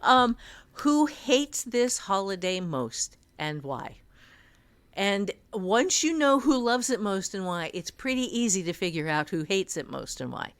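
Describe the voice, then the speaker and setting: A woman's voice: mid-range voice, very animated, measured pace, clear, resonant tone. A middle-aged woman co-hosting a podcast, teaching writing in an informal, explanatory way. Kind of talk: casual instructional discussion.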